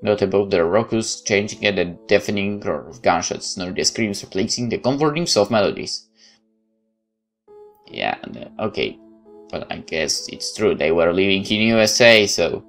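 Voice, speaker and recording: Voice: sits low, expressive, moderate pace. Let's player talking over game music. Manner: hesitant, thinking aloud with asides. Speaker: gaming video host. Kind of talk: casual let's play commentary, reading game text aloud.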